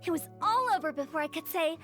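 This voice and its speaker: A woman's voice: extremely high pitch, expressive, fast. A woman voicing a quirky, mischievous teenage girl in an anime game, bragging and telling things with playful drama. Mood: dramatic. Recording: soft game music behind.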